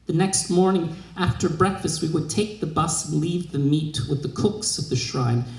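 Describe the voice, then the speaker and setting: A man giving an expressive literary reading of a scripted short story. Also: fairly high pitch, moderate pace. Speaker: literary reader. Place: live reading in a museum room. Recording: echoey room.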